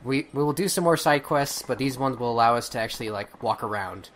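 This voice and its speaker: A man casually commentating on a video game he recorded, relaxed and rambling. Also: mid-range voice, fairly quick, slight background noise. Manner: relaxed, rambling, trails off and restarts. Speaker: let's play host.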